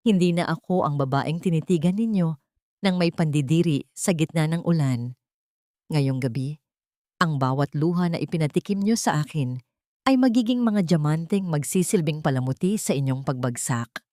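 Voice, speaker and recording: A woman voicing a steely, fearless wronged mother bent on revenge, measured and menacing. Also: sits low, warm tone, no room sound, clean studio sound, lightly acted. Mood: cold resolve.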